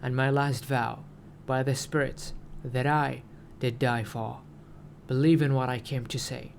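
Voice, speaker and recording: A man voicing an alien prophet from a story, preaching to humankind in a loud, solemn, declamatory way. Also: mid-range voice, level tone, unhurried, vocal fry, a little hiss or hum. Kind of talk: dramatic story reading.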